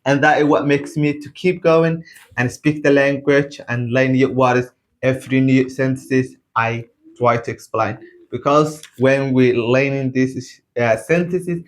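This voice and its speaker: A man from Somalia with a Somali accent speaks casual, halting learner English to camera, repeating phrases as he practises. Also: mid-range voice, fairly even intonation. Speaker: English learner and video blogger.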